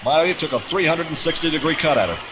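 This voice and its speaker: A man's voice: a little high, lively intonation, fast, background noise. A man calling baseball play-by-play in a dramatic, florid 1950s radio style, on an old broadcast recording. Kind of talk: 1950s radio sports commentary. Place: outdoor ballpark broadcast position.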